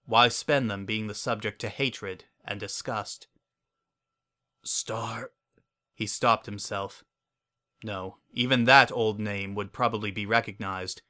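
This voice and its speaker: A man narrating a scripted story as a dramatic reading, in a measured, solemn manner. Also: on the low side, fairly even intonation, sharp tone.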